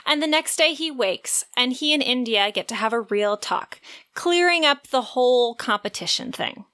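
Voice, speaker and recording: A woman's voice: high-pitched, expressive, normal speed, bright, forceful tone. A woman co-hosting a podcast, retelling a story in a playful, informal way. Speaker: podcast co-host. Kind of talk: lively retelling of a romance novel plot.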